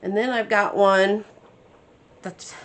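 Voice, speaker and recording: A woman's voice: medium pitch, fairly even intonation, takes it slowly. Chatty woman crafting at her desk. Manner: chatty, thinks aloud while working. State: congested from sinus trouble. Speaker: crafting channel host. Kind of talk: casual crafting tutorial narration.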